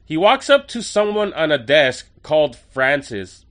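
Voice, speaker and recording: Guy hosting a podcast, bright punchy voice, a bit stuffy from a cold, chatty recap. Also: a little high, big pitch swings, unhurried. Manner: chatty, enthusiastic, wry asides. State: nasal from a cold. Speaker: podcast host. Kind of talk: casual episode review.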